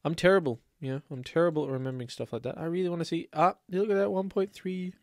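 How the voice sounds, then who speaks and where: A man's voice: a little high, steady tone, fast talker, no room sound, clean studio sound. A man with a slight cold, chatting casually and rambling as he plays a building game. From Australia. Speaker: let's play host. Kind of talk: casual gameplay commentary.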